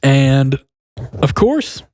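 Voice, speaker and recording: A man's voice: mid-range voice, very animated, very slow, a little mellow. A young adult man co-hosting a podcast, telling a story casually in an easygoing, chuckly way with folksy slang.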